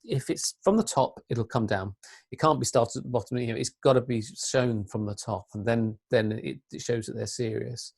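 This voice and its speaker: Woman, deep voice, English accent, earnest, lots of restarts, interview guest. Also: darkish tone, breathy edge. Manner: earnest, many restarts and fillers. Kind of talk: interview conversation.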